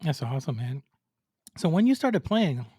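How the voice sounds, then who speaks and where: A man's voice: fairly high pitch, big pitch swings, normal speed, mellow, slightly rough, no echo, clean studio sound. A man hosting a casual podcast interview, hyped and playfully exaggerating as he repeats himself. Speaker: podcast host.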